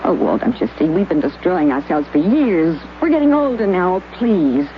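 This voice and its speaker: World-weary woman, teasing and bitter, low-set voice, lively lilting delivery, old dull radio recording. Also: normal speed, slight background noise. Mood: pleading. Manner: teasing, mocking, then pleading. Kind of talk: 1950s radio drama acting.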